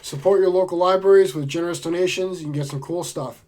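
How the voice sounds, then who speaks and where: A man with a somewhat high speaking voice, a little hoarse from a cold. He talks in a relaxed, chatty way and gets plainly excited about what he is showing. The tone is casual and friendly. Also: fast. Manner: enthusiastic, chatty, laid-back. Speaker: book vlogger. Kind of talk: casual book haul chat.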